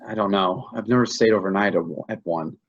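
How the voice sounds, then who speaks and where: A man acting a shaken, confused husband in grief in a staged play reading, speaking haltingly and repeating himself. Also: on the low side, muffled recording, lightly acted. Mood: subdued, anxious. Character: shaken, confused husband grieving a lost pregnancy.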